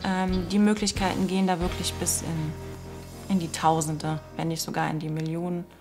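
A woman's voice: fairly low pitch, flat delivery, normal speed. A woman in her thirties who runs a web shop, explaining things in a matter-of-fact way. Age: 30s. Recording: music playing underneath.

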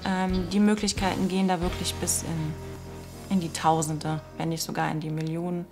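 A woman's voice: fairly low pitch, little pitch movement, moderate pace. A woman in her thirties speaking casually in an interview. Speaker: office and webshop worker in a family business. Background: music.

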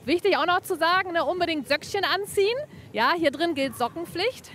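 A woman's voice: very high voice, animated, a little fast, a bit bright, slight background noise. A woman reporting on location for TV from a museum ball pit, chatty and often adding tag questions.

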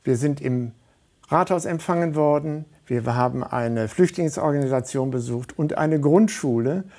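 A man's voice: a little high, normal speed, warm tone. A middle-aged man speaking as a guest in a studio interview, earnest and hesitant, with many fillers. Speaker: studio guest, volunteer organizer.

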